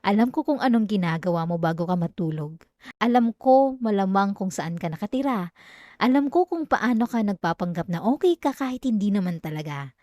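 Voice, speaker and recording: A woman's voice: mid-range voice, animated, a little fast, a little mellow, dry recording, lightly acted. A young woman with a Filipino accent voicing an obsessive, possessive female classmate in an intimate, dramatic ASMR roleplay, addressing the listener up close. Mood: obsessive, insistent. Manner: intimate, pleading turning coldly threatening, dramatic. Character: obsessive yandere female classmate, clingy, wounded, menacingly possessive. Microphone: close microphone, dry sound.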